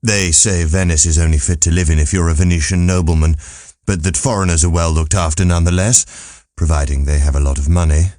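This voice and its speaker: Man voicing a low, deadpan cynical philosopher. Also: a little fast, no echo, close to an everyday voice. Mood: wry. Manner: deadpan, dry, blunt. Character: Martin, world-weary cynical pessimist philosopher man. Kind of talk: audiobook character voice.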